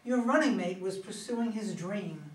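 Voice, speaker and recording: A woman playing a confident, smooth-talking young attorney general in an amateur play reading, poised and self-assured. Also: medium pitch, moderate pace, lightly acted. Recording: distant, echoey room, faint noise.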